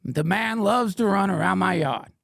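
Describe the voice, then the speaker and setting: Middle-aged male host, gravelly, raised pitch, mock-indignant comic riffing, dry sound. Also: moderate pace, no room sound. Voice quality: playfully mock-indignant, swings to whispers and shouts. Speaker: podcast host reading chat questions. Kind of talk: comedic livestream banter in a mock persona.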